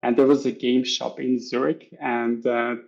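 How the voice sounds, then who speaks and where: A man's voice: mid-range voice, flat delivery, a little slow, gravelly, dull audio. A man from Switzerland with a Swiss German accent, chatting as a podcast guest and acting out little scenes from his stories. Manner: chatty, acts out voices in stories. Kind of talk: casual podcast interview.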